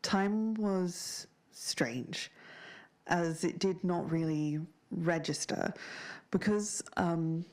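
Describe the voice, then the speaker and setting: A woman playing an earnest, shaken survivor of a strange town, speaking haltingly in a naturalistic audio drama interview. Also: lowish voice, a little mellow. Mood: halting, unsettled. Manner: halting, searching for words.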